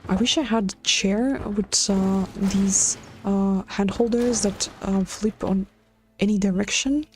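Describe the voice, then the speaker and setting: Flat, mellow woman with a Latvian accent. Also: mid-range voice, slow, faint music behind. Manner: hesitant, many filler sounds and restarts. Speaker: streamer. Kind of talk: casual live-stream chat while building in a game.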